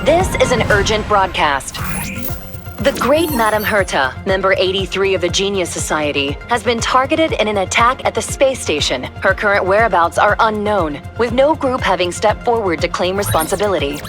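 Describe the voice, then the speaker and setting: An actress playing a formal newscaster reading an urgent bulletin in a crisp announcer's delivery. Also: female voice, mid-range voice, animated, normal speed, over music, lightly acted. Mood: formal urgency. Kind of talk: scripted news bulletin.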